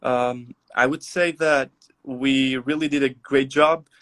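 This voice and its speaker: Young man, halting answers. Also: medium pitch, steady tone, fairly bright tone. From Albania. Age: young adult. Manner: halting, many "uh" and "um" pauses. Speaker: guest. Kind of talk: casual interview answers. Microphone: video call.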